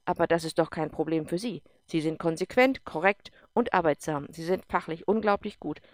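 A woman's voice: lowish voice, moderate pace, dry recording, natural voice. Woman voicing a stern, commanding female general. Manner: clipped, forceful, brooks no argument.

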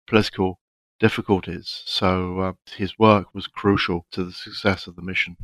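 A man with a lowish voice tells his field experiences steadily and plainly. The sound is dry and close, as on a call. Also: level tone, moderate pace, a bit bright, no echo. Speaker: former international monitor. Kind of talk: recounting experiences in conversation. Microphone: call microphone.

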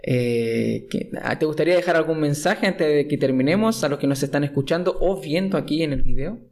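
A man's voice: a little high, a little fast, a little mellow. A young man from Chile with a Chilean accent, hosting a casual podcast conversation, hesitant and full of restarts. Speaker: podcast host.

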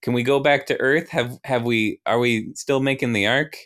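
A man's voice: medium pitch, normal speed, fairly bright tone, pitched above the performer's own voice, lightly acted. A man voicing his anxious, apologetic younger self in a timid, pleading manner for a comic story. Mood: apologetic, unsure.

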